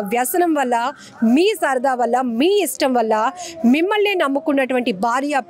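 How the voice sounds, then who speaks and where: A woman's voice: sits high, darkish tone. A woman news presenter narrating in a solemn, earnest, emotive way, appealing to viewers.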